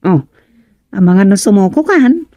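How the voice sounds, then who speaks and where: A homely village wife in a radio comedy, teasing and chatty. Her voice is mellow and sits close and dry, at an everyday middle pitch. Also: sing-song, a little slow, no echo, muffled recording. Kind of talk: Ilocano radio comedy drama acting.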